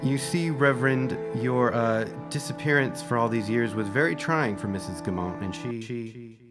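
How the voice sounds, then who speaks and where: 男性が演じる遠慮がちで信心深い男. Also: male voice, medium pitch, normal speed, music in the background, lightly acted. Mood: pious, awkward. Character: pious, hesitant man welcoming a long-lost reverend. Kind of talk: period audio drama acting.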